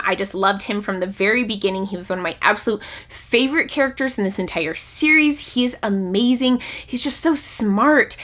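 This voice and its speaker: A woman's voice: medium pitch, a bit bright. A woman talking casually and enthusiastically to her audience, in the chatty style of an online reviewer. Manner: enthusiastic, gushing about favourites. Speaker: book reviewer and channel host. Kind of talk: casual chatty book talk to camera.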